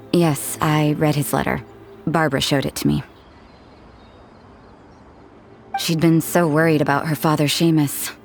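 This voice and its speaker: An actress playing a cool, detached young woman in a video game, speaking in a measured, dry, unsentimental way. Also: low voice, darkish tone. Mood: calm, faintly amused. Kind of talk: English video game character dialogue.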